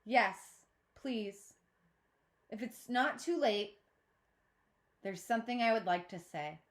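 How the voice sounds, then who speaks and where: A woman voicing an earnest, nervous young girl in a storybook, speaking deliberately and with emphasis. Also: a little high. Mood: nervous, polite.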